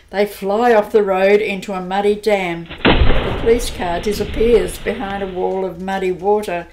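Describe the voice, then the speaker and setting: A woman narrates an amateur radio play. She tells the story plainly and evenly, at a middling pitch. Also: a little mellow.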